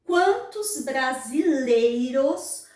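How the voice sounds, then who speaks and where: Brazilian woman vlogger, high voice, earnest teaching tone, distant mic with room echo. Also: expressive, very slow, darkish tone. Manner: frequent tag questions, earnest and didactic. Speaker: channel host. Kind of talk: explanatory vlog talk.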